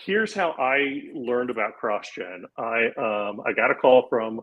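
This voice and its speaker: A man in his forties, a comedian guest on a podcast, telling a long anecdote in a casual, animated way and acting out the lines of the people in it. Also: medium pitch, unhurried, lo-fi sound. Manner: animated anecdote-teller, acts out quoted lines. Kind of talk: casual storytelling.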